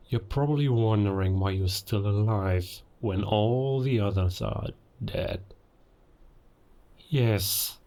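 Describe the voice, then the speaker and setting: Werewolf villain for audio roleplay, male actor: self-styled beast king, low-pitched, very unhurried, grandiose and menacing. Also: darkish tone, exaggerated voice. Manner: slow, deliberate, menacing, grandiose. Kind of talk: cinematic audio roleplay monologue.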